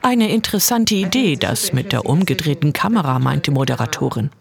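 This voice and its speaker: A woman reading a scripted culture report voice-over with an expressive delivery. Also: low-pitched, fairly quick, creaky, a faint crowd.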